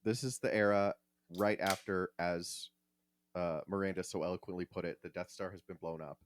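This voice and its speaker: A man co-hosting a podcast, explaining things casually and haltingly as he thinks aloud. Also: low voice, steady tone, slight background noise. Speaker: podcast co-host.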